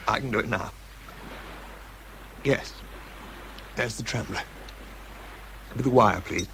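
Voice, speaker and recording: Very deep, weary British man. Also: slow, gravelly, fairly bright tone, slight background noise, exaggerated voice. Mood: tense, exhausted. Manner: weary, introspective, understated. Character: exhausted, self-doubting man, a wartime bomb disposal expert. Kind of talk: British radio drama, first-person narration and dialogue.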